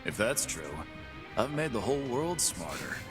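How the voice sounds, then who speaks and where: A man's voice: mid-range voice, sing-song, moderate pace. An actor playing a swaggering, boastful half-beast man who runs fighting pits in a video game, with game music behind him. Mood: cocky. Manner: swaggering, boastful, tough-guy taunts. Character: cocky brawling half-beast male pit boss. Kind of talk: game character voice lines.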